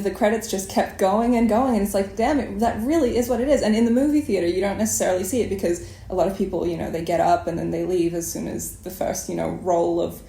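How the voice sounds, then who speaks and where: Mellow woman host in an echoey room. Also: medium pitch. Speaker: interview host. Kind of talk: conversational interviewing and commentary. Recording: roomy, echoing sound.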